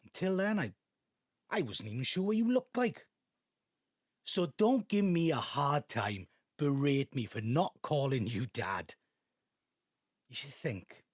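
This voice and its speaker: An actor playing a bitter, resentful grown son in an audio drama, speaking in a halting, confrontational way. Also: male voice, medium pitch, big pitch swings, dry recording, clean studio sound. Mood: cold resentment. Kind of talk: naturalistic audio drama acting.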